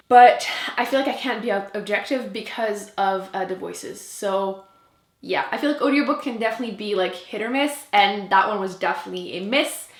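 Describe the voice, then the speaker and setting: A woman's voice: on the high side, roomy sound. A French Canadian woman, a native French speaker, chatting casually and emphatically to camera as a book reviewer.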